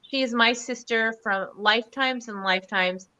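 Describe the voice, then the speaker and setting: Bubbly bright-voiced woman hosting a video call. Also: a little high, moderate pace. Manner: bubbly, chatty, gushing introductions. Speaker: host. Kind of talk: casual online show chat.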